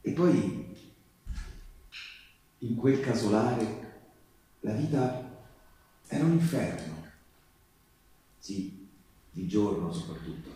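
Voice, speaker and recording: An actor playing a male wartime partisan in a theatrical monologue, speaking intimately and haltingly to a loved one, with dramatic pauses. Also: sits low, warm tone. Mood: weary, bitter. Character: male wartime partisan tenderly recalling youth in the mountains. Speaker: stage actor performing a monologue. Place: live stage performance, recorded from a distance. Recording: distant microphone in a very echoey room.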